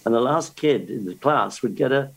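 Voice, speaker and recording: A man's voice: medium pitch, animated, moderate pace, rough recording. An older man, chatting casually and telling stories about learning the language. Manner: storytelling, with restarts. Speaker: guest. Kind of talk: casual chat.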